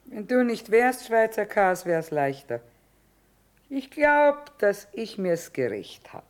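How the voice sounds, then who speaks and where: A woman's voice: medium pitch, very animated, slow. A middle-aged actress playing a shrewd, earthy mother who trades among soldiers, blunt and dryly ironic. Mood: wry, sly. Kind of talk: stage-style radio drama acting.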